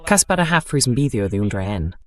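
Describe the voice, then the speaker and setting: A man with an average-pitched voice takes part in a political discussion as a guest commentator. His delivery is wry and critical. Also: big pitch swings, normal speed, a crowd in the background.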